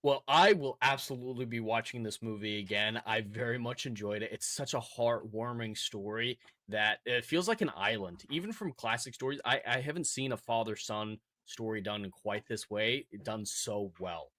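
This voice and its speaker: A man co-hosting a movie review show, speaking in a measured, earnest way with no distinct accent. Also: mid-range voice, a bit bright, no room sound, clean studio sound. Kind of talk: casual spoken movie review.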